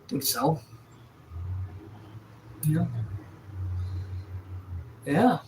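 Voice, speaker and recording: A man in his thirties from Atlantic Canada, chatting casually on a live stream, relaxed and rambling with lots of fillers and restarts. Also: fairly high pitch, very slow, echoey room, slight background noise, low-quality recording. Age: 30s. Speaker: livestreamer. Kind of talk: casual live-stream chat reading viewer comments. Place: sunroom.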